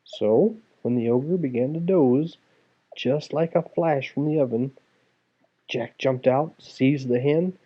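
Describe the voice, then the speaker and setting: A man's voice: medium pitch, slow. A man reading a children's fairy tale aloud as a storyteller, acting it out as he goes. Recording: tinny, phone-like narrow sound.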